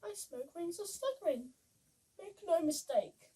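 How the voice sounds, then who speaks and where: A woman's voice: very high voice, animated, unhurried, warm tone, far from the mic, pitched above the performer's own voice, rough recording. A woman voicing a boastful, dashing male scarecrow with a pompous, emphatic manner for a storybook. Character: boastful, dashing male scarecrow, smooth-talking rival suitor.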